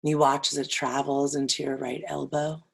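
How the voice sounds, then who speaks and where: A woman leading a guided yoga nidra meditation in a soothing, deliberate way, leaving long pauses between phrases. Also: deep voice, level tone, vocal fry, slightly rough.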